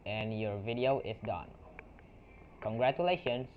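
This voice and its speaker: A young man from Indonesia with an Indonesian accent, a non-native English speaker walking through a software tutorial in a halting way, with restarts and fillers. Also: medium pitch, expressive. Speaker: tutorial creator. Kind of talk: software screen-recording tutorial. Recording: tinny lo-fi mic with faint noise.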